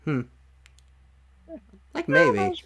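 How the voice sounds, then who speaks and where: A man's voice: extremely slow, fairly bright tone. A man hosting a casual podcast chat about games, often repeating and rephrasing as he works out his thoughts. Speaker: podcast host.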